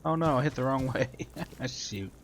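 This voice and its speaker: A man's voice: medium pitch, animated, normal speed, slight background noise. A man giving casual, matter-of-fact gameplay commentary and reading tutorial text aloud as he plays. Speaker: gamer doing a playthrough.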